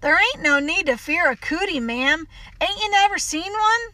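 Storybook part: gallant little country boy, voiced by a woman, Southern accent, high, earnest, well-mannered. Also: lively intonation, normal speed, sharp tone, no room sound, full character voice. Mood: gentle. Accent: American South. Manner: polite, eager, reassuring.

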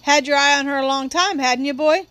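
A woman reads a male prosecutor in a novel. He needles with contempt, his questions condescending and insinuating, in a high voice recorded very dry. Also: brisk, fairly bright tone, no echo. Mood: needling, scornful.

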